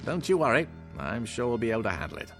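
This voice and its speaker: A patronising doctor, wildly swooping pitch. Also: male voice, very animated, fast talker, creaky, over loud music. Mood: reassuring. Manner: hesitant fillers, condescending reassurance. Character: smooth, patronising male doctor at a futuristic clinic. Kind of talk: radio drama acting.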